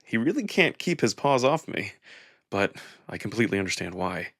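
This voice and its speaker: A man performing dramatic first-person narration of a visual novel in an intimate, expressive storytelling manner. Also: fairly low pitch, normal speed, no room sound, studio quality.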